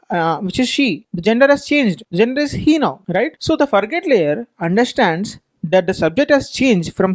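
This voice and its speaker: A man's voice: high-pitched, no echo. A man teaching over screen slides, explaining with examples and repeating himself as his speed varies. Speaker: instructor.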